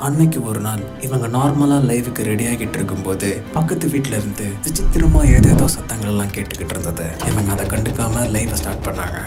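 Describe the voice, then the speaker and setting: A man's voice: lowish voice, animated, brisk, hardly any pauses, close to an everyday voice. A man playing the storytelling narrator of a comic adventure, reading scripted narration. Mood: suspenseful storytelling. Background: loud music.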